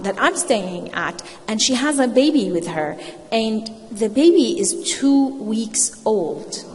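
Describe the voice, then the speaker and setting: A woman panelist telling a story with animation, her voice climbing when she quotes people. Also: a little high. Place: event hall. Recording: reverberant hall.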